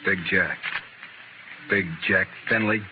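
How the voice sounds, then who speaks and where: An actor playing a sardonic, sickly and coolly dangerous gunfighter in a radio Western, with an American accent and a dry, wry, polite manner. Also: male voice, deep voice, level tone, slow, highs cut off. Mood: wry, friendly. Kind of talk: 1950s radio Western acting. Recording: thin, very noisy old radio recording.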